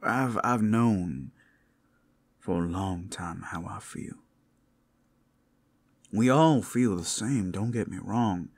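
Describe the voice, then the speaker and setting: A man playing a tender, protective werewolf lover in an intimate ASMR roleplay, speaking in halting, heartfelt phrases full of endearments. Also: lowish voice, husky, full character voice. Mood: tender, earnest. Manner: soft endearments, halting heartfelt delivery, teasing asides. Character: tender, devoted, protective male werewolf lover working at a brothel.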